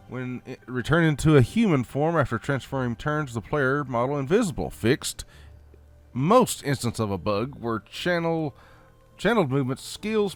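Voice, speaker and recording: A man with a Southern American accent reads update notes aloud in a folksy, halting way, adding off-the-cuff comments. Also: a little high. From Southern United States. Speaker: gaming channel host.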